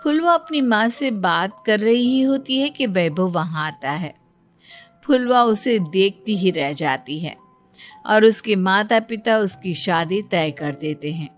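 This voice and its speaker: A woman narrates a scripted moral tale at a slow, measured pace. Her pitch sits in the middle for a female voice, and music plays behind her. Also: expressive. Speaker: storyteller. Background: background music.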